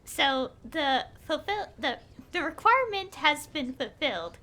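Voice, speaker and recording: A woman's voice: high-pitched, very animated, a little slow, sharp tone. A woman chatting casually on a gameplay livestream, rambling and hesitant as she thinks aloud. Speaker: game streamer.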